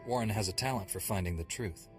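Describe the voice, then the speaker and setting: Low-voiced, stern Jedi Knight, a man acting. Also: quick pace, music in the background, lightly acted. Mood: composed. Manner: terse, formal, controlled. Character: stern male Jedi Knight hero, terse and commanding. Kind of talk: video game voice acting.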